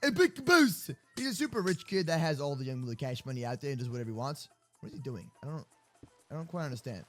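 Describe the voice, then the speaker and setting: A man improvising game roleplay commentary, animated and slangy, reacting aloud as he goes. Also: on the high side, fast, soft background music. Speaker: gaming video host.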